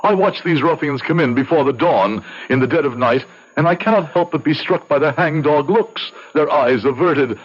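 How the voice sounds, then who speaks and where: An actor playing a conscience-stricken young medical student, speaking and narrating formally and earnestly in old radio style. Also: male voice, fairly high pitch, moderate pace, slightly rough. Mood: anguished protest. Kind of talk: old-time radio drama acting and narration. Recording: dull lo-fi radio drama recording.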